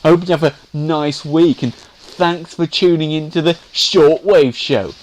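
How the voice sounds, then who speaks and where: Man acting a whiny, slow-talking, grumpy sketch correspondent. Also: fairly high pitch, close to an everyday voice. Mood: grumpy, exasperated. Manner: whiny, sighing, complaining. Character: grumpy, put-upon male correspondent banished to an attic. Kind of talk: comedy sketch piece to camera.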